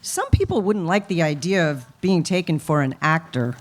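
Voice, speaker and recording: A woman's voice: sits low, expressive, moderate pace. A woman acting a chatty, naive talent agency receptionist in a 1950s-style radio play, matter-of-fact in manner. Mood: chatty, helpful. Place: live radio play performance at an event.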